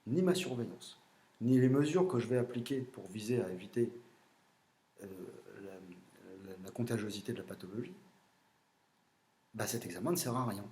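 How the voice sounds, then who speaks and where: Blunt French doctor in an echoey room. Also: male voice, mid-range voice, a little mellow. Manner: blunt, opinionated, with frequent fillers. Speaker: general practitioner. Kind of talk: explanatory monologue.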